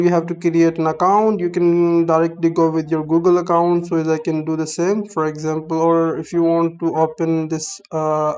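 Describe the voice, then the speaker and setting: Flat-voiced young Pakistani man. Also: on the high side, clean tone, muffled recording. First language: Urdu. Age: young adult. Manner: halting, explanatory, starts many phrases with "so". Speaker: app reviewer. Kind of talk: screen-recorded app tutorial.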